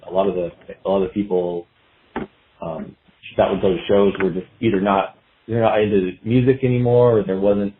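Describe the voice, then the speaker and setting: A man answering casually in a podcast interview, telling his story in a loose, halting, reflective way. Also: deep voice, slight background noise. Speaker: guest musician, guitarist. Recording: dull phone-like line, roomy echo.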